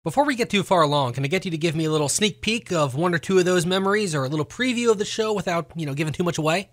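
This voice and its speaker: A man's voice: high-pitched, lively intonation, racing. A man hosting a casual radio interview in an enthusiastic, chatty way.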